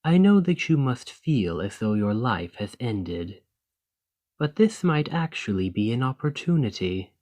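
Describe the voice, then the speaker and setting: A man's voice: medium pitch, very animated, a little mellow. A man playing an ambitious foreign noble in a fantasy audio roleplay, speaking in a formal, composed, courteous and persuasive manner. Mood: sympathetic, composed. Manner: formal, measured, deliberate, condescendingly sympathetic. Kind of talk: scripted fantasy ASMR roleplay monologue.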